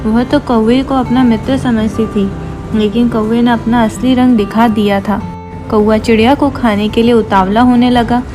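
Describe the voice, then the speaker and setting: A woman's voice: a little high, steady tone, moderate pace. A woman reading scripted narration for a children's moral story. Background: background music.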